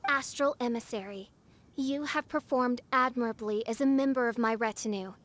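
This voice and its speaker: A woman's voice: a little high, normal speed, fairly bright tone, close to an everyday voice. A woman playing a theatrical teenage girl adventurer who talks like a grandiose princess in a video game, with pompous archaic diction. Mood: imperious, grandiose. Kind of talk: video game cutscene voice acting.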